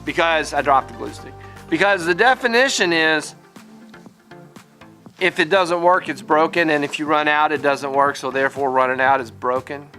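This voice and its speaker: A middle-aged man with a bright, forceful voice, talking to camera in a casual vlog. His pitch sits a little high for a man, and he stumbles and repeats words. Faint music plays behind him. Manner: halting, repeats words when serious, upbeat when telling the story. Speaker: vlogger, teacher.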